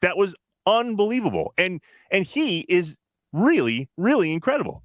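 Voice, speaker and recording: A man's voice: high voice, sing-song, moderate pace, dry recording, clean studio sound. An American man chatting casually and enthusiastically as the co-host of a podcast. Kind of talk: casual chat.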